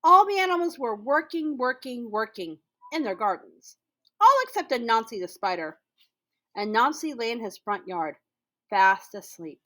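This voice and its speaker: Female school storyteller, high-pitched, expressive picture-book reading with emphatic, drawn-out repeated words. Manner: emphatic, with drawn-out repetitions for effect. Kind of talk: expressive children's picture-book read-aloud.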